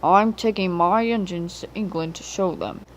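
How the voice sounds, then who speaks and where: A woman's voice: lowish voice, normal speed, slight background noise, natural voice, rough recording. A woman playing a stern, pompous male railway controller in a storybook reading, commanding and emphatic.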